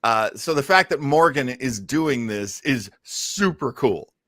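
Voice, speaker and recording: Lively bright-voiced man chatting as host. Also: medium pitch, unhurried, dry recording, studio quality. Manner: wisecracking banter. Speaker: podcast host. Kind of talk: casual chat and commentary on old-time radio.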